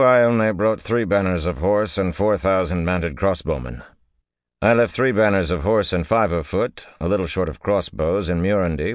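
A man voicing a calm, courteous nobleman officer in an audiobook, speaking politely and with measured assurance. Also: low-pitched, dry recording, studio quality, voice pushed up. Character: calm, courteous nobleman soldier, loyal second-in-command.

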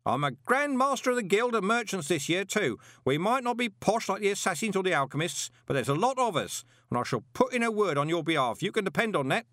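A man voicing a loud, hearty elderly shopkeeper who bellows everything with no sense of volume. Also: very animated, brisk, few pauses, no echo, clean studio sound. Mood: bellowing. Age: older. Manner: bellowing, booming, no volume control.

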